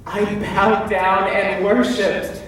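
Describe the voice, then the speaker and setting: A reverberant, distant hall recording with faint noise carries a male actor as a biblical warrior giving a stage monologue. His voice is high, theatrical and emphatic. He is fearful and doubts himself, with deliberate pauses and hesitations. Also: little pitch movement, a bit bright, full character voice. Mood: rousing.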